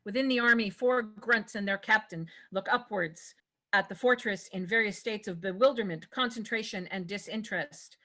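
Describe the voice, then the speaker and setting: A woman's voice: medium pitch, moderate pace, sharp tone. A woman reading scripted stage directions as the narrator of a table read.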